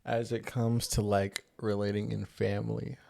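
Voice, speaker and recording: A man's voice: on the low side, level tone, moderate pace, vocal fry, mellow. A man in his early twenties co-hosting a podcast, chatting casually in informal, slangy language. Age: early 20s. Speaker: podcast co-host. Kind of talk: casual chat.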